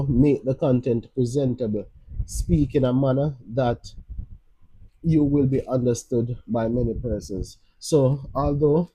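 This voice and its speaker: Jamaican man with a dark husky voice. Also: mid-range voice, rough recording. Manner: rambling, many restarts and fillers. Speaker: livestream host. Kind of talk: casual live-stream advice. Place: streaming live from Jamaica.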